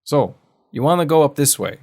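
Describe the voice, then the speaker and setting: A man voicing a kindly, cryptic old man who gives folksy, unhurried advice in an audio drama. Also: fairly high pitch, lively intonation, creaky, darkish tone, husky, voice pushed up, exaggerated voice. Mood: wise, advising. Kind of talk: audio fiction character voice.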